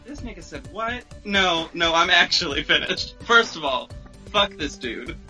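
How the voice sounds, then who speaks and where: A man's voice: high voice, animated, a little slow, sharp tone, soft background music. A man in casual podcast banter, playful and exclamatory, swearing freely. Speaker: podcast co-speaker.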